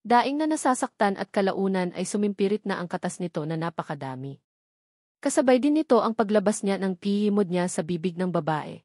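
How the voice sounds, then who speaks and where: A woman's voice: medium pitch, sing-song, a little fast, darkish tone, no room sound, clean studio sound. A woman reading scripted fiction aloud as an audiobook narrator.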